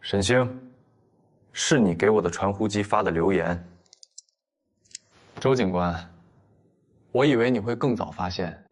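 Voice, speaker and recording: A cool, clever man played by an actor with a fairly low voice. He speaks with restraint, in the style of an animated detective drama. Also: unhurried, pauses often, breathy edge. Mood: cool, provoking. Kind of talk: audio drama acting for animated comic.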